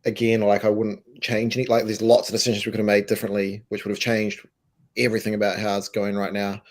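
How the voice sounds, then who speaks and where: Man from New Zealand, low and croaky, emphatic business guest who stumbles and repeats. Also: level tone, fast. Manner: emphatic, repeats words, restarts. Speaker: co-founder and co-CEO, guest. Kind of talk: casual interview answers.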